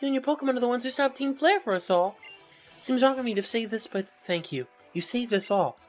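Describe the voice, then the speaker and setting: A woman's voice: on the high side, animated, a little fast, faint music behind, natural voice. A woman voicing a polite, timid, earnest young woman from a video game, speaking courteously. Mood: flustered, grateful. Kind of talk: video game character reel.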